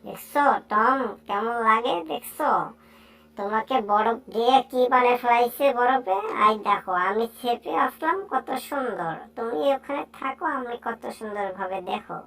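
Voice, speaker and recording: A woman voicing an excitable, teasing cartoon animal pal in a playful, exclaiming amateur dub. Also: fairly high pitch, normal speed, distant mic, low-quality recording. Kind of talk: amateur Bangla cartoon dub, improvised chatter.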